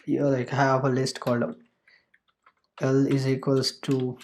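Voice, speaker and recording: A man's voice: medium pitch, completely flat delivery, a little slow, a little mellow, a little hiss or hum. Man casually talking through code. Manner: loose, repetitive explaining with many fillers and restarts. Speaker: programming tutor. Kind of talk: screen-recorded coding tutorial.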